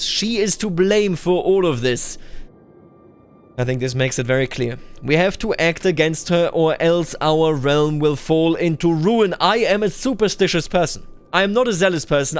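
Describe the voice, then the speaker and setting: A man hosting a strategy game roleplay, commenting deliberately and musing aloud as he plays. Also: on the high side, slight background noise. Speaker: gaming video host. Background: background music.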